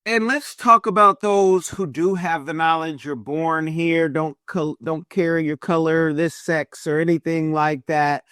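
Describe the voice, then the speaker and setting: A man's voice: on the high side, fairly even intonation, unhurried, hardly any pauses, sharp tone, clean tone, dry recording, studio quality. A man hosting a podcast, talking emphatically with joking asides while setting up his questions. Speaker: podcast host. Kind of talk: casual podcast interview.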